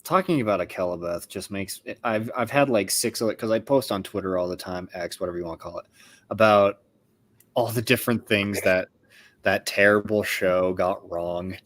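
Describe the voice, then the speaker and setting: A man co-hosting a casual discussion, thinking aloud in a halting, informal way. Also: lowish voice, fairly bright tone. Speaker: co-host.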